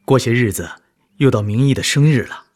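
A man's voice: medium pitch, brisk, darkish tone, close to an everyday voice. An actor playing a loyal, anxious army officer in a radio drama, emotive and full of care for his comrade. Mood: caring. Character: loyal army officer, anxious, warm younger brother-in-arms. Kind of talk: broadcast radio drama acting.

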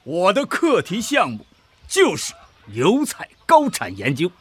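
An actor playing a genial, self-assured older researcher in a radio drama, chummy and deliberate. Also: male voice, sits high, wildly swooping pitch, fairly bright tone, slightly rough, exaggerated voice. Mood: genial, confident.

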